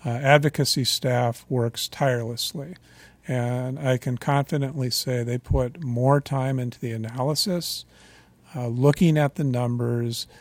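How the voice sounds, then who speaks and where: Measured man at a regulatory hearing. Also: medium pitch, steady tone, no room sound. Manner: measured, frequent fillers and restarts. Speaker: utility regulator commissioner. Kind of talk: formal public meeting remarks.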